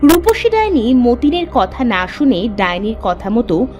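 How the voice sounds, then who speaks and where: A woman's voice: a little high, big pitch swings, a little slow, a little mellow, lo-fi sound, soft background music. A woman narrating a scripted cartoon folk tale as storyteller.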